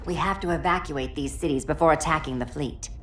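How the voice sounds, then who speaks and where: An actress playing a cool, capable thief antiheroine in a video game, composed and matter-of-fact. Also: female voice, low voice, brisk, lightly acted. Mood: businesslike. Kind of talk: cinematic video game acting. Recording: loud music behind voice.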